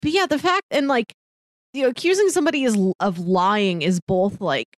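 A woman's voice: medium pitch, no room sound. A woman co-hosting a casual film discussion, chatty and often laughing as she talks. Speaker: podcast co-host.

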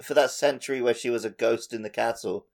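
A man with plenty of vocal fry and a medium-pitched voice. He speaks as a podcast co-host, chatting about games in an informal, conversational way. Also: fast. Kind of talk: casual gaming podcast chat.

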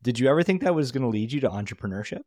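A man's voice: medium pitch, big pitch swings, racing, dark tone, no room sound, clean studio sound. Upbeat man hosting a podcast. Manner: upbeat, candid, conversational. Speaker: podcast host. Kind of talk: casual podcast interview.

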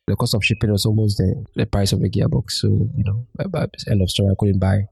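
A man's voice: fairly low pitch, steady tone, fast, creaky, darkish tone, breathy edge, no echo. A man with a Ghanaian accent, a startup founder talking as a guest in an interview and telling his story in an agreeable way. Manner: agreeable, tells things as a story. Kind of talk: conversational interview.

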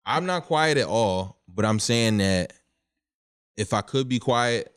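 A man's voice: mid-range voice, lively intonation, takes it slowly, a bit bright, smooth. A young man co-hosting a casual podcast, talking in a laid-back way and defending himself through jokes. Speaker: podcast co-host.

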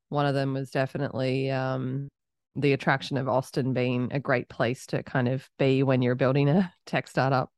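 A woman's voice: rumbling low, a little mellow, no echo, clean studio sound. A woman in her thirties with an Australian accent, giving conversational answers as an interview guest. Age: 30s. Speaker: podcast guest, entrepreneur.

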